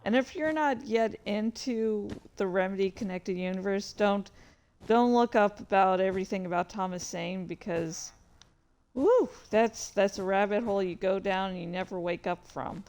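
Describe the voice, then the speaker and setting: A woman's voice: medium pitch, normal speed, darkish tone. A woman hosting a livestream, chatting casually and rambling to her viewers in a playful, easily sidetracked way. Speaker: livestream host and streamer.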